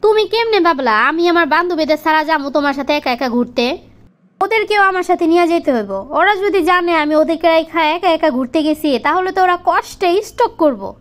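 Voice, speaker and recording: Casting: female actor as demanding young cartoon wife, extremely high-pitched, Bangladeshi accent, scolding and insistent. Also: fairly bright tone. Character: bossy, demanding young wife devoted to her girlfriends. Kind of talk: comic cartoon dialogue in colloquial dialect.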